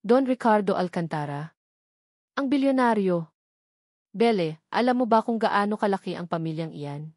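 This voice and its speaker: A wary woman attorney, a cautioning friend, as a female storyteller reads her. The voice is mid-pitched and dramatic in storybook fashion, in a dry recording. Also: animated, normal speed, pauses often, darkish tone, no echo, natural voice. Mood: astonished. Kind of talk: dramatic storybook narration dialogue.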